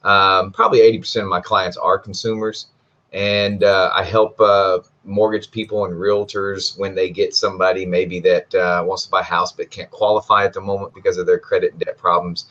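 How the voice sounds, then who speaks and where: Low-voiced Texan man in his fifties. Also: normal speed, dull audio. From Texas. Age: 50s. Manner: relaxed, hesitant with many uhs. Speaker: guest. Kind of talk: casual self-introduction. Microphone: video call.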